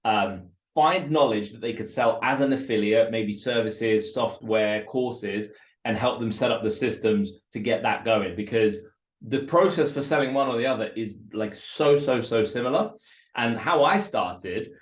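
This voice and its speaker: A man's voice: mid-range voice, measured pace. A man, a Russian speaker, speaking as an entrepreneur guest in a casual interview. He talks with energy and often repeats words as he builds his points. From Russia, raised in West London. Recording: roomy echo.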